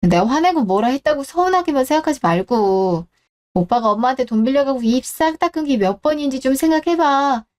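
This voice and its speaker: Woman as scolding middle-aged daughter, high-pitched voice, blunt, nagging, exasperated, radio drama. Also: lively intonation, brisk, a little mellow. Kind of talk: Korean radio-drama story acting.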